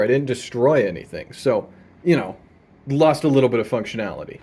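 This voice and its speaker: A man hosting a casual, unscripted gear demonstration at home, laid-back and playful with dry humour. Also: medium pitch. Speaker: video host demonstrating vintage audio gear. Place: indoors in a room at home.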